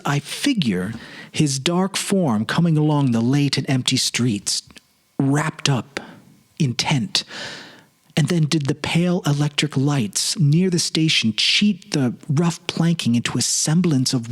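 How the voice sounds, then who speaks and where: Slow, husky-voiced male narrator. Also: on the high side, animated. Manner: solemn, measured, pensive. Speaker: narrator, series producer and presenter. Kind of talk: dramatic literary narration.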